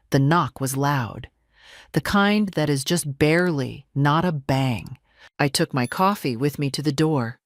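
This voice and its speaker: A woman reading scripted first-person dramatic narration in a measured, composed, deliberate manner. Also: low-pitched, no echo, clean studio sound. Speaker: story narrator.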